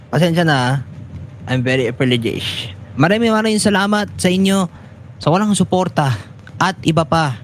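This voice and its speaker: A man's voice: a little high, slight background noise. A man in his twenties with a Filipino accent, hosting a talk show in a loose, rambling, informal way and mixing in English phrases. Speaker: internet radio talk show host. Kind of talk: casual rambling sign-off chat.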